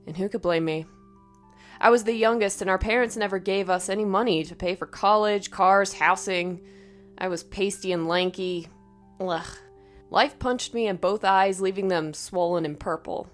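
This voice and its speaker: A woman narrating a scripted first-person horror story in a dramatic, expressive way. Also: medium pitch, moderate pace, faint music behind.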